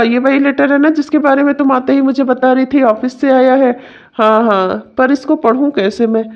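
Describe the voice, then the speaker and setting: A woman voicing a domineering, complaining young husband in a melodramatic family story. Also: high voice. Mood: casual, insistent. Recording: dull, muffled lo-fi sound.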